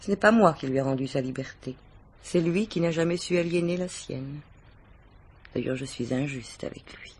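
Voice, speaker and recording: An actress playing a worldly, composed middle-aged married mistress questioned by police in a French radio mystery, with measured, formal diction. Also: female voice, low voice, a little mellow, slight background noise, natural voice. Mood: bitter irony.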